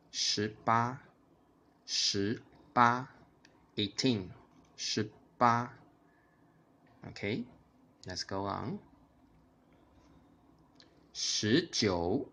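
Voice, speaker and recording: A man's voice: medium pitch, bright, forceful tone. A man teaching a language lesson, patiently repeating each number word by word for learners to copy. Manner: slow, patient repetition, drilling each word.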